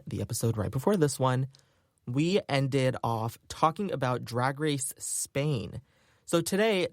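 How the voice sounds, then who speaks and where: A man's voice: mid-range voice, no echo, clean studio sound. A man hosting a solo podcast, talking in a chatty, upbeat way with lots of filler words. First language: English. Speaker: podcast host. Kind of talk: casual solo podcast chat.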